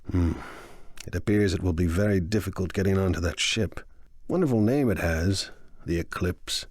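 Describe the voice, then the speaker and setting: An actor playing a smug, scheming crime lord in a video game, wry, theatrical and sure of himself. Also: male voice, sits low, moderate pace, darkish tone, no room sound. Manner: wry, self-assured, theatrical.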